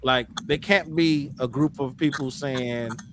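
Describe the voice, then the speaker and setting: A man's voice: medium pitch, measured pace, noisy background. A man hosting a casual panel talk, reasoning aloud and checking that others follow him. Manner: conversational, reasoning aloud, seeks agreement. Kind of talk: casual debate.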